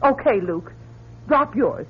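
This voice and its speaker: A woman's voice: on the high side, animated, slow, slightly rough, exaggerated voice. An actress playing a spirited female astronaut, pleading yet firm, in emotive radio drama style. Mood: urgent pleading. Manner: emotive, urgent. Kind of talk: melodramatic radio drama acting. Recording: muffled, lo-fi old radio recording.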